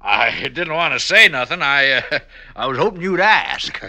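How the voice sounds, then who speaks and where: Folksy sheriff, bright higher-pitched voice. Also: male voice, expressive, moderate pace, exaggerated voice. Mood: bashful. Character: folksy, sly, deferential male Western sheriff. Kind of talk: old-time radio drama acting.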